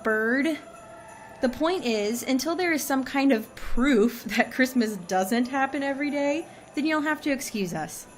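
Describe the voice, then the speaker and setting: High-voiced, placating team leader played by a woman. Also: expressive, measured pace. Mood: brisk, firm. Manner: placating, firm. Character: patient, upbeat, brisk female team leader humouring a colleague. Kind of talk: comedic audio drama acting. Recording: faint music behind the voice.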